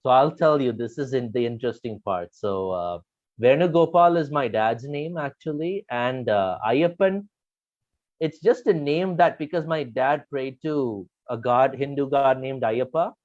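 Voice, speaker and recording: A man's voice: mid-range voice, a little slow, a little mellow, no room sound. Wry man on a dull, dry line. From India, raised in the US. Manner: explanatory, wry. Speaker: guest. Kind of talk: casual storytelling.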